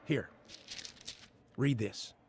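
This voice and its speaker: An actor in an audio drama playing a steady, devout friend and mentor with a measured, exhorting way of talking. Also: male voice, a little hiss or hum. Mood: earnest counsel.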